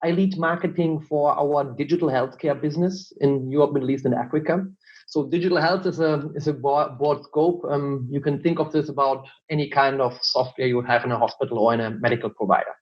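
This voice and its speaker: A man's voice: fairly high pitch, steady tone, brisk, highs cut off. A man from Germany with a German accent, answering interview questions as a marketing director, explaining patiently with frequent fillers. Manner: explanatory, many fillers and "look".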